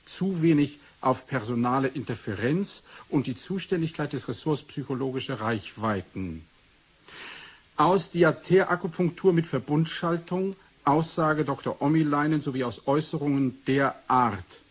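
A slightly high, husky male voice as an analytical research doctor. He dictates in clipped, formal, measured phrases, brooding and exact, in the manner of a 1980s science fiction radio drama. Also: expressive. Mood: detached, analytical.

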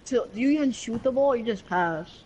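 A young boy in fifth grade chatting over game voice chat, excitable and giggly, often stumbling over his words. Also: extremely high pitch, fairly quick, background noise. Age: child. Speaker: online game player. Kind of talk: casual voice chat while gaming.

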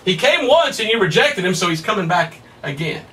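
A man in his thirties preaching a Bible study at a whiteboard, emphatic and excitable, his voice somewhat hoarse. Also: sits high, fairly quick, far from the mic, a little hiss or hum. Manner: emphatic, rising to excited peaks, laughs at his own points. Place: small room in front of a whiteboard. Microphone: camera at a distance.

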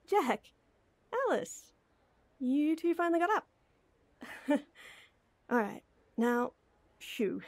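A woman's voice: high-pitched, creaky, darkish tone, dry recording, heavily acted. A woman playing a flustered, lovestruck young woman in an intimate audio roleplay, hesitant and teasing. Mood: exasperated.

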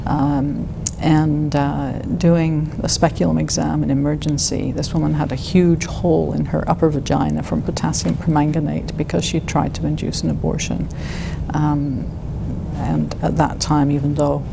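Low gravelly older woman being interviewed, lots of ums and pauses, noisy rumbly studio. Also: flat delivery. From United Kingdom. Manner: thoughtful, hesitant with many fillers. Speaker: interviewee, physician. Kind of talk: oral history interview.

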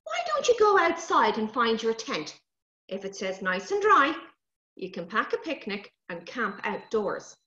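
A woman voicing a brisk, cheerful mother with a no-nonsense manner. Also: on the high side, huge pitch swings, pushed and bright, exaggerated voice. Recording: roomy, dull-sounding recording.

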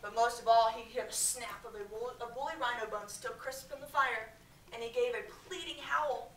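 An American woman reads a story aloud in a high, projected schoolteacher voice. She is expressive and breaks off to question her pupils. She is picked up from across an echoey classroom with faint noise behind her. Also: brisk, distant mic. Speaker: elementary school teacher. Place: medium-sized school classroom, indoors. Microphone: far camera.

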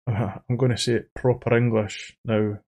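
A man with an Edinburgh Scottish accent, hosting a trading channel in a faceless voice-over, talking haltingly and repeating his words. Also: mid-range voice, creaky. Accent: Edinburgh Scottish, toned down. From Scotland. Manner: halting, repeats words, says he sounds monotone. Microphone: studio microphone.

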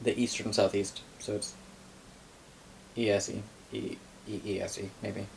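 A young man with a fairly low voice tells a story in a casual, improvised way, wry and given to swearing. He is picked up by a camera mic across a living room, with clear noise behind him. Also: level tone, unhurried, poor audio. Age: young adult. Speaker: storyteller recounting game lore. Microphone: camera mic a few metres away.

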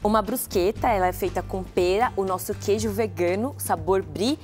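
A woman's voice: mid-range voice, normal speed, a little mellow, soft background music. A Brazilian woman in her thirties, a guest cook on a TV studio show, explaining products conversationally. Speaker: guest company representative and cook. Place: TV kitchen studio.

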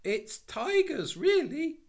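A man's voice: very high voice, very animated, slow pace, voice pushed up, full character voice. A man voicing a small, earnest little girl in a storybook, speaking insistently. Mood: earnest warning. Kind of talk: storybook reading.

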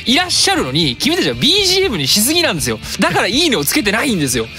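A man's voice: very high-pitched, huge pitch swings, rapid-fire, music in the background. A man in his thirties hosting a web radio show, chatting casually and jokingly and reading out announcements. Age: 30s. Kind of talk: casual comic chat and announcements.

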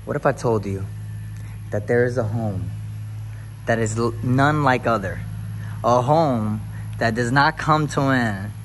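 A man's voice: medium pitch, unhurried, pauses often, a little hiss or hum. A man touring a new house for viewers, then preaching in an upbeat, hyped way. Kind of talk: casual house-tour vlog turning to preaching. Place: empty new house, then its covered back patio.

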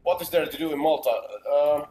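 A man's voice: a little high, level tone, fairly quick, mellow, soft background music. Young Finnish man streaming, echoey room. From Finland. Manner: reads out chat messages and answers them casually. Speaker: casino streamer. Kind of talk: casual live-stream chat. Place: small room indoors.

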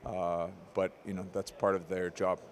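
A man in his forties, a head coach, giving a measured, analytical postgame interview with frequent ums, into a handheld mic in an arena corridor. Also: low-pitched, flat delivery, slight background noise.

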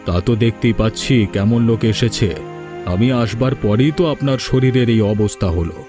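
A man's voice: lowish voice, moderate pace, over music, lightly acted. A man voicing troubled, earnest village men in a Bengali cartoon. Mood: apologetic. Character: village men, apologetic guest and aggrieved householder. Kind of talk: dubbed Bengali cartoon dialogue.

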